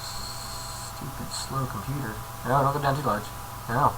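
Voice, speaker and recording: A man's voice: medium pitch, steady tone, unhurried. Guy reading a creepypasta kinda casually, trips on words, throws in side comments, noisy homemade audio. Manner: informal, stumbles over words, jokey interjections. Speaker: creepypasta reader, video host. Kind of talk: casual narration reading a horror story with off-script asides. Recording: noisy amateur home recording.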